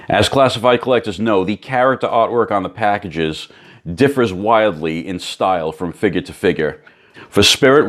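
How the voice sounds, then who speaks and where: Hoarse man reviewing toys. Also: lowish voice. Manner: chatty, informative. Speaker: toy reviewer. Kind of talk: toy review vlog.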